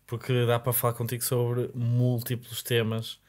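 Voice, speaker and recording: Casting: mid-pitched Portuguese co-host, calm and steering, studio mic. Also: male voice, moderate pace. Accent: European Portuguese. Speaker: podcast co-host. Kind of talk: casual podcast chat. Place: small podcast studio with foam panels. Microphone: boom-arm studio mic.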